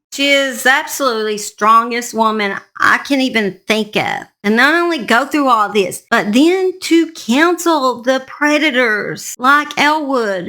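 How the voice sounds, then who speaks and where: An older woman from a true-crime channel speaks with a Southern US accent. Her voice is bright and sits slightly high. She tells stories casually, in a folksy, emphatic way.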